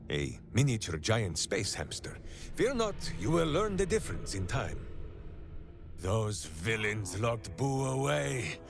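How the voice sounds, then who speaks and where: An actor playing a hearty, simple-minded heroic warrior in a video game, speaking with theatrical, earnest grandeur. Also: male voice, mid-range voice. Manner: grandiose, earnest third-person speech. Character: hearty, devoted, simple-minded heroic warrior man with beloved pet hamster. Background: game music.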